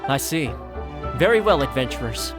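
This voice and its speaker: A wary, curt sentry in a fantasy game, played by a man. His mid-pitched voice swings noticeably in intonation. Music is clear behind him. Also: sing-song, normal speed, close to an everyday voice. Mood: relenting. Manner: curt, official. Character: stern, wary male guard on duty. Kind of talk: video game voice acting.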